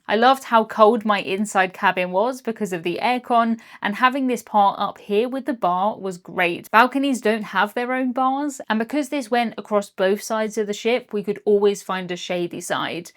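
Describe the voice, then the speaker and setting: Woman, British accent, young adult, mid pitch, chatty, upbeat, scripted vlog narration. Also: a bit bright. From Britain. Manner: upbeat, chatty, lightly jokey. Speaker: cruise vlogger.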